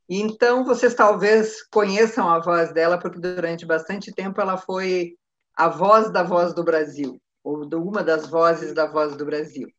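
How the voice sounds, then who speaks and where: A woman with a Brazilian accent hosting an informal live online interview in a conversational way. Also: on the low side. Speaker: host, consultancy manager interviewing a client. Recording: dull, lo-fi online call.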